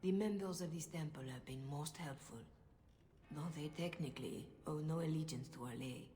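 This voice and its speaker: A woman playing a grave, principled female cleric in a video game, speaking in a formal, measured, diplomatic way. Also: deep voice, dark tone, close to an everyday voice. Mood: solemn. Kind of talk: dramatic video game dialogue.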